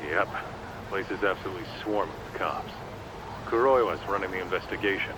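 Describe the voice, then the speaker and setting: Low-voiced streetwise buddy acted by a man, street noise. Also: fairly quick, natural voice. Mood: casual, conspiratorial. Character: casual, streetwise male buddy phoning from the street. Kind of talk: video game cutscene acting. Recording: noisy outdoor street ambience.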